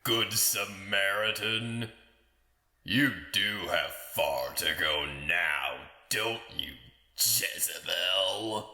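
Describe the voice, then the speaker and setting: A man's voice: low voice, extremely slow, bright, forceful tone, exaggerated voice. A man playing a vengeful tyrant lord and former soldier, taunting and mocking in formal, theatrical audio drama speech. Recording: roomy echo, music underneath. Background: music.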